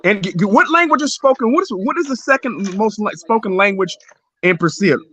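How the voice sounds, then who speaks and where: A man's voice: sits high, animated, fairly quick, sharp tone. A man hosting a livestream, arguing heatedly and repeating himself, sometimes swearing. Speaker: livestream host. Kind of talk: heated casual debate.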